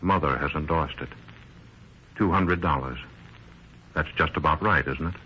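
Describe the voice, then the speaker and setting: An actor playing a bereaved son, subdued, formal and polite, in a 1930s radio drama. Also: male voice, rumbling low, moderate pace. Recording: muffled hissy 1930s radio recording.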